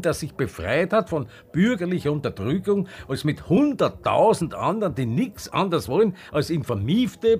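Husky, defiant older Austrian bar owner. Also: male voice, a little high, a little mellow, exaggerated voice. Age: 60s. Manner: dialect-tinged, impassioned, reflective. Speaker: lead actor and narrator. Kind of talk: radio drama acting and first-person narration.

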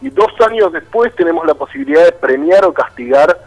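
A man's voice: on the high side, very animated, a little fast, poor audio. A man with a Buenos Aires accent speaking as a political candidate in a radio interview by phone, laying out his points in an articulate, persuasive way. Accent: Rioplatense Argentine, Buenos Aires.

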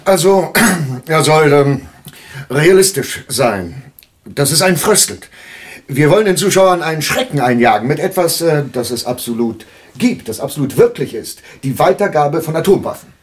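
A man playing a slick, theatrical small-time operator pitching an idea in a radio drama, with dramatic emphasis and pauses. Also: expressive, husky, dry recording. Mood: earnest pitching. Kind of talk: 1980s radio drama acting.

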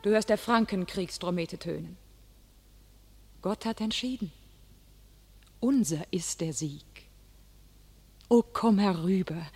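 A fervent young warrior maiden. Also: female voice, mid-range voice, darkish tone, slightly rough, exaggerated voice. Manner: solemn verse declamation, sinking to a whisper. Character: young female warrior prophet, fervent and commanding. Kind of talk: classic radio play acting. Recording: radio drama with faint music.

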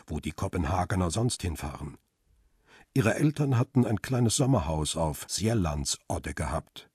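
An actor playing a middle-aged, world-weary male photographer who narrates a radio crime drama in a measured, reflective way. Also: low voice, darkish tone, slightly rough, dry recording, studio quality. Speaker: first-person narrator and lead character.

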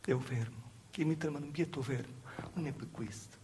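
A man with a Calabrian accent playing an anxious, wronged young village woman in a halting, repetitive theatre monologue. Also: mid-range voice, noisy background, natural voice. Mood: hushed, troubled. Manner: halting, repetitive, dropping to hushed asides. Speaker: stage actor performing a monologue. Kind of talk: dialect theatre monologue, storytelling.

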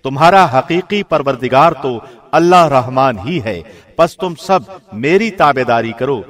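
A man reads a scripture translation in a solemn, measured and formal way, like a professional radio presenter. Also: medium pitch, expressive, a bit bright.

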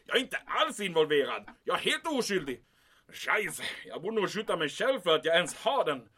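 High, animated, blustering commandant played by a man. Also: husky, heavily acted. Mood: defensive, irritated. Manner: emphatic, peppering speech with German exclamations. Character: anxious, blustering German military commandant guarding a secret. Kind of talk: audio drama acting.

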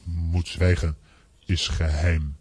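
A man playing an odd, secretive fugitive in a children's audio drama, terse and monotone, in clipped phrases. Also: low-pitched, takes it slowly, breathy edge, heavily acted. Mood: grave, secretive.

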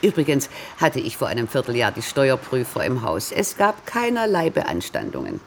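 Abwehrende Geschäftsfrau, lebhafte Stimmlage. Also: female voice, very deep voice, huge pitch swings, normal speed, slight background noise, exaggerated voice. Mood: defiant. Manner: curt, defensive. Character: guarded, defensive businesswoman under questioning. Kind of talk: radio drama acting.

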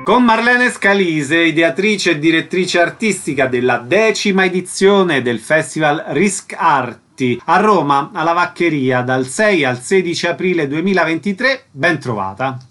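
A male radio host with a slightly high-pitched voice. He puts questions and announcements to his guests in a conversational interview style. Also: animated, unhurried, hardly any pauses, a bit bright, soft background music.

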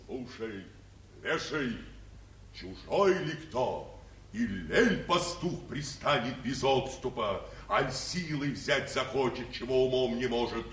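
An actor playing a commanding, protective old frost spirit, with drawn-out theatrical declamation in a 1940s radio fairy tale. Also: male voice, animated, a bit bright, slight background noise. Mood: stern, scheming. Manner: booming calls, drawn-out declamation. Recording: 1949 radio recording, echoey, distant mic.

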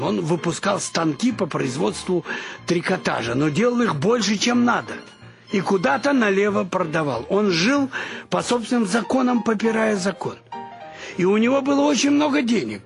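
An actor playing an excitable, nostalgic elderly grandfather in a radio play, with a thin, creaky voice. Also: high-pitched, exaggerated voice. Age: older.

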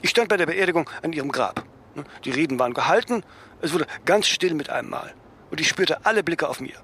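A cool, self-absorbed man in a radio play. His voice sits slightly high, and he muses haltingly, adding questioning tags. There is faint noise behind him. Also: expressive, bright, forceful tone. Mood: reflective, grieving. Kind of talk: radio drama acting.

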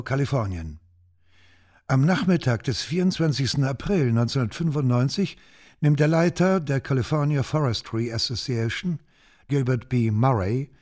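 Male narrator for radio documentary: factual, sober delivery, medium-pitched voice. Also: a little mellow.

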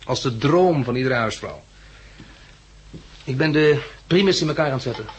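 A man's voice: medium pitch, expressive, moderate pace, slight background noise, natural voice. An actor playing a practical, dryly joking man surviving a catastrophe in a 1960s radio drama. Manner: dry, wry, matter-of-fact.

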